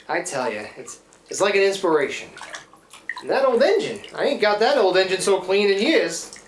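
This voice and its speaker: A woman's voice: medium pitch, natural voice. A woman playing a scruffy, hard-drinking riverboat man in a radio play, with folksy, rambling, theatrical working-class speech. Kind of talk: live radio play acting. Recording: roomy live stage, watery foley noise.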